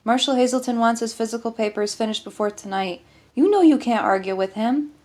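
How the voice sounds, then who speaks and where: A woman's voice: fairly high pitch, a little fast, a little mellow, voice pushed up, lightly acted. A woman voicing a conscientious, anxious female doctor in an audiobook story, earnest and guarded. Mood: urgent, defensive.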